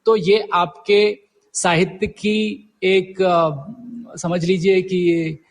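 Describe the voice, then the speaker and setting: A man's voice: high voice, level tone, extremely slow. A middle-aged man answering as a guest writer in a conversational interview, hesitantly searching for his words.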